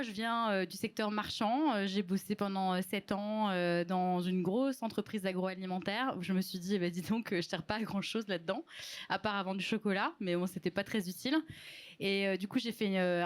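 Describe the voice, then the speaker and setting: A woman in her thirties introducing herself on a live panel, speaking informally with frequent hesitation. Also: mid-range voice. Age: 30s. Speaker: entrepreneur, panel guest.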